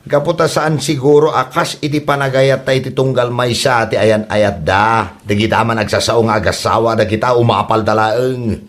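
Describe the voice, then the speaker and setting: A man's voice: mid-range voice, fairly even intonation, moderate pace, hardly any pauses, close to an everyday voice. A man playing a playful, amorous young husband full of excuses, with an Ilocano accent in a comedy drama. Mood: defensive.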